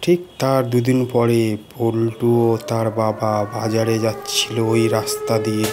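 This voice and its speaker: A man narrating a simple scripted cartoon story in short, halting phrases. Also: on the low side, monotone, a little mellow, slight background noise.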